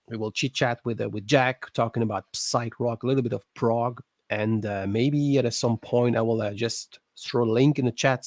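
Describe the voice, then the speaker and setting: A man from Alsace with a French accent, hosting a casual livestream chat, halting and restarting often. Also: medium pitch, a little mellow, no echo, studio quality. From Alsace, France. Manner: halting, many restarts and repeated words. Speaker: livestream host.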